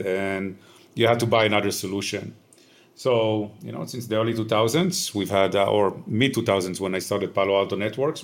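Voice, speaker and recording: A man in his fifties with an Israeli accent, answering interview questions in a measured, explanatory way with frequent "uh" pauses. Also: lowish voice. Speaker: tech company founder, guest.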